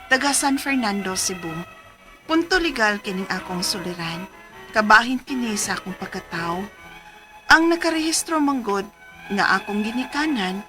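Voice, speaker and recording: A woman's voice: mid-range voice, close to an everyday voice. A woman playing an adult letter sender recounting her legal problem in a radio drama, with a Cebuano accent. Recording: music behind the voice.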